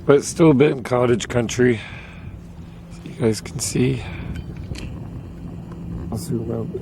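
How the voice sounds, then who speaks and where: A man with a hoarse, failing voice, chatting casually to viewers while paddling a canoe on a river. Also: medium pitch, unhurried. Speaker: vlogger on a solo canoe trip. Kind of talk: casual talk to camera. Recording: outdoor noise of wind and water. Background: wind and water noise.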